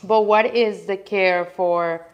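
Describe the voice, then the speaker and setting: A young woman co-hosting a live studio demo, reading viewer questions in a friendly, relaxed way. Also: mid-range voice, slow pace, lo-fi sound. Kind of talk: casual live demo chat. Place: indoor studio.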